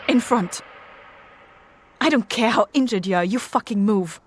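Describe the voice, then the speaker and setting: A woman's voice: a little high, unhurried, breathy edge. A woman playing a hard, threatening survivor in an audio drama, curt and urgent in manner. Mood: cold, threatening. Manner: curt, menacing, hushed urgency. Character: hard, threatening female survivor guarding a boy.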